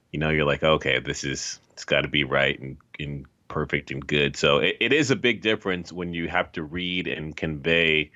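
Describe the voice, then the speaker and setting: Low-voiced man on a dull, lo-fi track. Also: pushed and bright. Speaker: interview guest, voice actor. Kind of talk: casual chat.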